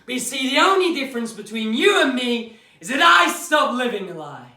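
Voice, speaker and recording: A man's voice: extremely high pitch, big pitch swings, normal speed, sharp tone, heavily acted. A man performing a troubled young man who speaks intensely and goads the listener. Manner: intense, accusing, goading. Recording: echoey room from far off.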